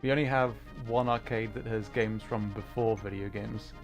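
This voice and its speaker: A man's voice: on the low side, steady tone, moderate pace, faint music behind. A man livestreaming a video game, chatting casually and thinking aloud in short reactive bursts. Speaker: livestream host, VTuber. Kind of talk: casual livestream chat while playing a racing game.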